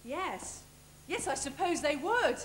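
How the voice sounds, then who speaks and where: A woman's voice: sits high, big pitch swings, slow, heavily acted. A young English actress playing a cheeky young male valet in a stage pantomime, with a projected, declamatory delivery. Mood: doubtful. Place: large theatre stage, far camera. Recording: echoey, noisy theatre videotape.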